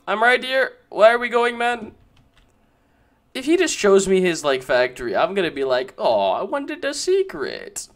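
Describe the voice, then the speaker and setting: Young dude gaming commentary, voice jumps all over, chatty and hyped. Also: male voice, high-pitched. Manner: chatty, excitable, talks to viewers as guys. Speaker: gaming YouTuber. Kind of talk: casual gameplay commentary.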